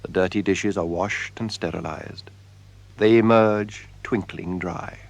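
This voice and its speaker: An actor playing a solemn storyteller, narrating a literary radio drama in a measured, deliberate way. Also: male voice, sits low, vocal fry, no room sound. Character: solemn male storyteller observing an empty house.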